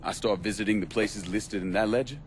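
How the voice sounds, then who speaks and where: An actor playing a cold, menacing crime boss in a video game, speaking tersely and with command. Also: male voice, on the low side, brisk, slight background noise, natural voice. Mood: cold, resolute. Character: cold, menacing young crime boss waging war on the mob. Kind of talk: cinematic game dialogue.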